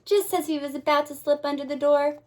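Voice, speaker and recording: A woman teacher reading a picture book aloud to young children, expressive and dramatic, pausing to put questions to her listeners. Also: high voice. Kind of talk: animated children's read-aloud.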